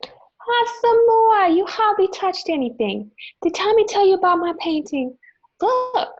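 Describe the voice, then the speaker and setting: Woman playing a high-voiced doting old mother. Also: sing-song, unhurried, exaggerated voice. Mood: eager, fussing. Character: doting, chatty elderly mother of a grown son. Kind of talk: amateur radio play acting. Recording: dull lo-fi home recording.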